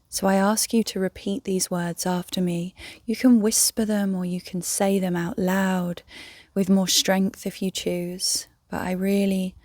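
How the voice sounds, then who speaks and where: A woman leading a guided meditation in a soft, breathy, near-whispered voice, gently and soothingly, with long pauses. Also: on the low side, no echo. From England. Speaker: meditation guide, yoga teacher.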